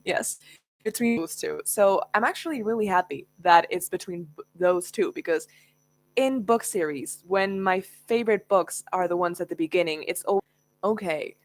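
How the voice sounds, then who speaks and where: A woman's voice: lowish voice, normal speed, no room sound. A young woman whose first language is Spanish, chatting casually and laughing often as she answers viewer questions. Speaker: book youtuber. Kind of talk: casual Q&A livestream chat.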